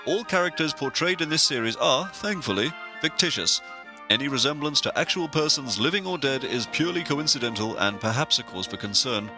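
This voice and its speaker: An actor playing a suave, pompous narrator in an audio sitcom, speaking formally and deadpan. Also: male voice, mid-range voice, brisk, over music, lightly acted. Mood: formal, mock-solemn. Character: suave, pompous male narrator who steps into the story.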